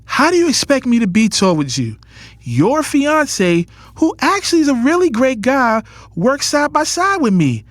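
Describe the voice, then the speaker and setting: A man's voice: extremely high pitch, big pitch swings, normal speed, no echo. A man playing a guarded, lovesick young businessman in an audio drama, earnest and emotionally intense. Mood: pained, passionate. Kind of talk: audio drama acting with first-person narration. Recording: studio voice over music bed. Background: music.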